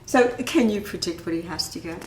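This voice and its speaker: A woman reading a picture book aloud to children in an expressive, animated, dramatic way. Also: on the low side, brisk, slight background noise. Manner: animated, dramatizes dialogue, asks listeners questions. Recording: echoey room.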